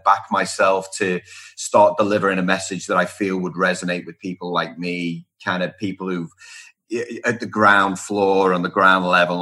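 Middle-aged man from Liverpool, low-pitched and husky. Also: pushed and bright. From Liverpool, England. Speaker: podcast guest, speaker and author on workplace culture. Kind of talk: conversational interview answers.

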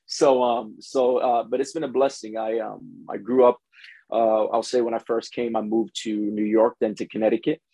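A man's voice: on the low side, steady tone, normal speed, warm tone, no echo, low-quality recording. A man from Ghana who grew up in the United States, speaking as a pastor and guest in a conversational interview, often saying "you know". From born in Ghana, grew up in the United States from preteens.

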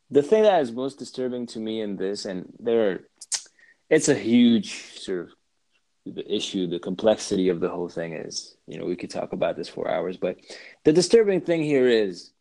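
A man with a fairly low voice talks things through as an interview guest. He is reflective, weighs both sides, and often restarts his sentences while searching for the right words. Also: animated, moderate pace, dark tone, rough recording. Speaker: podcast guest. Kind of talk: reflective conversational talk. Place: lives in southern Slovakia.

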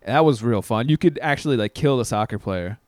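A man's voice: medium pitch, expressive, a little fast, no room sound, studio quality. A man co-hosting a podcast, chatting casually and enthusiastically with plenty of slang. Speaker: podcast co-host. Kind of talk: casual chat.

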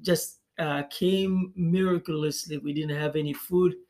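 A man's voice: a little high, steady tone, unhurried, a little mellow. A man with a Congolese accent giving reflective interview answers as a guest pastor, hesitating and repeating words as he thinks.

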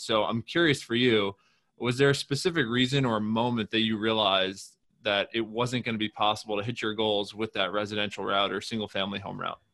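A man's voice: on the low side, bright, forceful tone. A man hosting a podcast, giving a tip and then asking questions in an upbeat, conversational way. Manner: enthusiastic, conversational, fillers. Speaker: podcast host. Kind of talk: podcast interviewing and tips.

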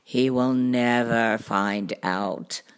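A woman's voice: extremely low pitch, very slow, clean tone, pitched below the performer's own voice, heavily acted. A woman voicing a big, cocky male cat from a children's storybook, drawling and chuckling with lazy, boastful confidence. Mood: smug.

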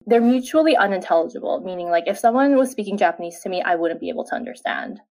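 A woman's voice: medium pitch, expressive, quick pace, creaky, darkish tone. Young woman chatting casually as host, lots of you-knows and likes, korean native. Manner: chatty, many fillers and laughs. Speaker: podcast host. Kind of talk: casual conversation.

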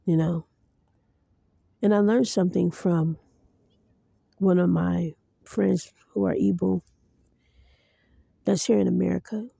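Podcast host, female, earnest and preachy, very dark gravelly voice, dry close sound. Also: lowish voice, steady tone, takes it slowly, long pauses, no echo. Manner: earnest, preachy, speaks to listeners directly. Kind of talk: solo commentary.

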